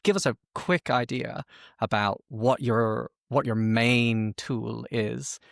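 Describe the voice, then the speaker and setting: A man hosting a conversational podcast interview, speaking in slightly halting, repeated phrases. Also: mid-range voice, creaky, no echo, clean studio sound.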